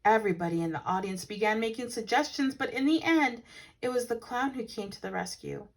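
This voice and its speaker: A woman's voice: fairly high pitch, a little fast. Woman reading a storybook aloud expressively. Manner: animated, with dramatic emphasis for children. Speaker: teacher reading a children's book aloud.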